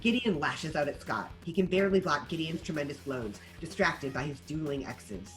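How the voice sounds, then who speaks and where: Animated narrator reading stage directions. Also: mid-range voice, moderate pace, soft background music, low-quality recording. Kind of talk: scripted narration for a table read.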